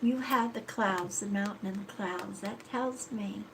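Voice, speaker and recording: Slow, stuffy-nosed woman giving a reading. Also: medium pitch, creaky, a bit bright, slight background noise, poor audio. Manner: slow, soothing, reassuring, with frequent "okay?" and "all right?". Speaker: psychic card reader. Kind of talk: live-stream card reading.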